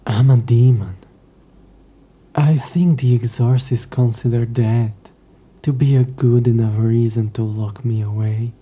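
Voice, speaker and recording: A man playing a haughty, possessive ancient demon in a scripted audio roleplay, with a hushed, breathy voice and dry, condescending, formal speech. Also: medium pitch, steady tone, a little slow. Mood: indignant.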